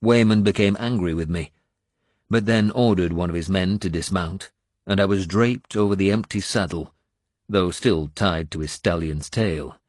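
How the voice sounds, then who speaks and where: A man narrating first-person historical fiction as a scripted audiobook, with measured, dramatic storytelling. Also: low-pitched, a little mellow, no room sound, clean studio sound.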